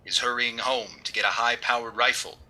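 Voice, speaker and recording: A man's voice: mid-range voice, fairly even intonation, pushed and bright, low-quality recording. A man playing an uncanny, mocking, mind-reading avenger in theatrical 1930s radio drama style. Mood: taunting, probing. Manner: slow, taunting, theatrical.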